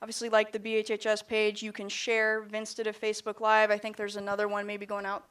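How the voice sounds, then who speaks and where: A woman's voice: medium pitch, completely flat delivery, a little fast, fairly bright tone, smooth. A woman giving informal announcements to colleagues as a staff presenter at a meeting.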